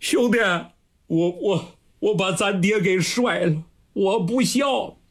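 A man's voice: high voice, slow, full character voice. A man voicing a remorseful grown son who cries out and pleads in tears, in a storytelling performance.

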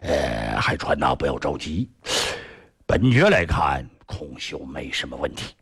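A dignified noble prince speaks in a low, measured, reassuring tone, as a male storyteller puts him on. His voice drops well below the narrator's own and carries a husky grain. It is recorded dry and close. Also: big pitch swings, a bit bright, dry recording, full character voice. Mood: calm reassurance. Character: calm, dignified noble prince, older man. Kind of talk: traditional storytelling.

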